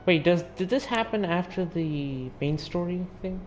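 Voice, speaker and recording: A man's voice: high-pitched, moderate pace. A teenage young man giving casual, offhand gameplay commentary, muttering and sometimes sweary. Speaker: gamer, video maker. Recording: game music behind the voice.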